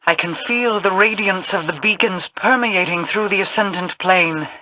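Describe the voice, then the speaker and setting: A woman playing a solemn, proud queen in a video game, speaking formally and imperiously in measured phrases. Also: medium pitch, noisy background, natural voice. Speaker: video game character voice actor. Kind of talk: scripted video game dialogue.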